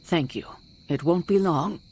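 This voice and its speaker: A woman voicing an earnest, self-doubting superhero team leader in a video game, serious and deliberate. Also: fairly low pitch, a little mellow, soft background music, close to an everyday voice. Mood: resolved, hesitant. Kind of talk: video game cutscene acting.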